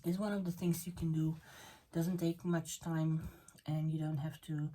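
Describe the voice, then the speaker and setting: A woman whose first language is Dutch, giving a tarot reading in a halting way, with many fillers and restarts, and slipping into a soft, half-whispered tone at times. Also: deep voice, level tone. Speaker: tarot reader. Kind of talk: spoken tarot reading video.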